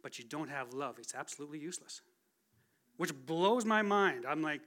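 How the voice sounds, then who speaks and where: A man's voice: a little high, animated, normal speed, a bit bright. A man in his forties giving a casual, chatty testimony from the pulpit of a church, joking at his own expense. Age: 40s. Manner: casual, chatty, self-deprecating. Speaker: guest speaker. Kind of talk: conversational sermon testimony. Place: church sanctuary.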